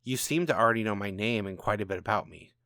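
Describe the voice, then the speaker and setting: A man's voice: lowish voice, fast talker, bright, forceful tone, no echo, clean studio sound. A man voicing a troubled ordinary man down on his luck in a storybook reading, questioning and defensive. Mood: wary. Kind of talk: storybook narration dialogue.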